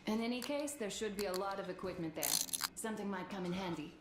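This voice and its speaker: An actress playing a practical young woman scout in a video game, speaking in a calm, matter-of-fact way. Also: medium pitch, fast, very noisy, lightly acted. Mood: observant. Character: young woman scout in a post-apocalyptic band of survivors, practical.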